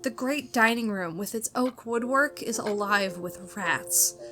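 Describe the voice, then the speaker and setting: A woman acting a fragile, frightened wife in radio melodrama style, anxious and halting in her delivery. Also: on the high side, bright, forceful tone, soft background music. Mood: weary, dread. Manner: anxious, breathlessly dramatic, halting. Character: frightened, fragile, pleading wife in a gothic thriller.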